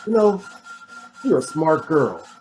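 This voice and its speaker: A man's voice: on the high side, very animated, slow, darkish tone. A man acts out a tough radio-drama crime boss who takes his time over every threat. His delivery swings up and down, bossy and sarcastic, with impatience always close to the surface. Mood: menacing, sardonic. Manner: tough-talking, bossy, sarcastic gangster slang. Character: menacing, impatient male gang boss.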